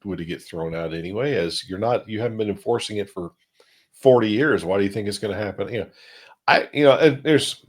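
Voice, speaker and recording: Low husky guy chatting tech on a panel show, kinda rambly and hedging. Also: fairly quick. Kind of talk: casual technical discussion.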